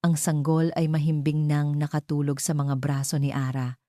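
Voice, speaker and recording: A low-pitched woman storyteller with a Filipino accent reads a scripted drama. Her tone is soft and mellow, and the sound is dry and close, with no room around it. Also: little pitch movement, normal speed, no echo.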